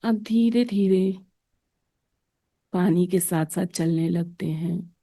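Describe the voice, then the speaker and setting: A woman guides a meditation in a soft, mellow voice that sits a little low. She moves very slowly, placing short phrases gently with space between them. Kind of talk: slow soothing guided meditation narration.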